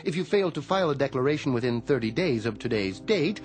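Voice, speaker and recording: An actor playing a businesslike, officious tax collector in a radio drama, with a dry manner. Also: male voice, medium pitch, expressive, fast, soft background music, natural voice. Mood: blandly officious. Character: brisk, officious tax man, blandly unhelpful.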